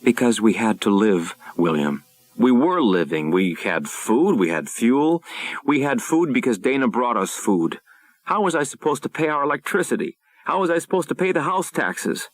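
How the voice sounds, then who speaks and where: A man's voice: medium pitch, very animated, moderate pace, no echo, voice pushed up. A man voicing a distressed wife and mother who pleads emotionally but firmly, in an audiobook. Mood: defensive, upset.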